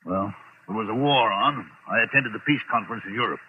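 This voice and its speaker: A man's voice: fairly low pitch, brisk, husky. An actor playing a wealthy, imperious, haunted middle-aged businessman in a 1940s radio drama, brusque and self-important. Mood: wistful, shaken. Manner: pompous, brusque. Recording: crackly, narrow-band 1940s radio recording.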